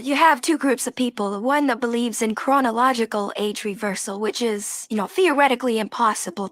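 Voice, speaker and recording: Halting woman guest, dry sound. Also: on the high side, fairly bright tone, dry recording. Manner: halting, many fillers and restarts. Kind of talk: online panel discussion. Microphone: video call.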